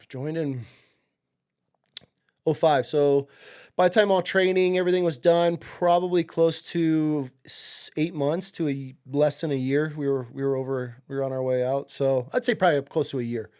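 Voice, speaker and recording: A man's voice: on the high side, fairly even intonation, moderate pace, a little mellow, smooth. A man in his late thirties from upstate New York, telling stories as a podcast guest in a laid-back way, swearing and saying "dude" and "like" a lot. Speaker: podcast guest, Marine veteran. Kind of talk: casual interview storytelling.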